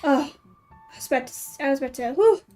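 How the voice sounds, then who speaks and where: A woman's voice: high voice, animated, fast, warm tone, faint music behind. A woman giving casual game commentary as a streamer, with playful, mock-exasperated asides. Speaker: gameplay streamer.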